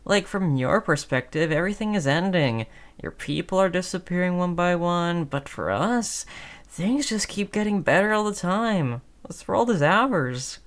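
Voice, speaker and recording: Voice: mid-range voice, animated, unhurried. A performer playing a friendly, chatty undead zombie who wheedles in casual slang, in a scripted audio roleplay. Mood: cajoling. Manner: casual, cajoling, slangy salesman-like patter.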